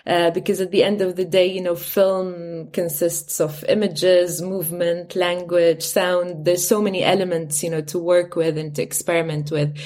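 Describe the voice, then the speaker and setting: A young adult woman, an artist who works in moving image, answers an interviewer. Her voice sits fairly low. She speaks in a measured way, dotted with uhs and "you know". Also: level tone, a little mellow. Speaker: artist and filmmaker.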